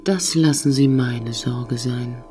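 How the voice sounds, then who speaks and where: A woman playing a cool, formal, protective space-station computer in a science-fiction audio drama, speaking in measured, officious phrases. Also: extremely low pitch, warm tone, faint music behind. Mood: firm, guarded.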